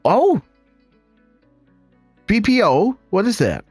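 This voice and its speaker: Dutch-accented male stream host, unhurried but excitable, voice leaping up and down, dry close sound. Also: sits high, breathy edge, dry recording, faint music behind. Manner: excitable, exclaiming, talks to viewers as guys. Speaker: live show host. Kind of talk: casual live-stream commentary on images.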